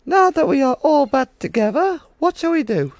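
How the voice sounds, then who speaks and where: A woman voicing an excitable, loud, exclamatory animatronic bear in a fan comic dub. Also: high-pitched, moderate pace, no room sound, voice pushed up. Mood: excited. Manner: exclamatory, eager.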